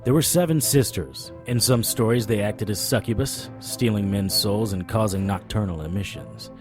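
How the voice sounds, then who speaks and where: An actor playing a wry, stubborn occult investigator in an audio drama, talking and narrating in a conversational noir style. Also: male voice, fairly low pitch, normal speed, over music, lightly acted. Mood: brooding, musing.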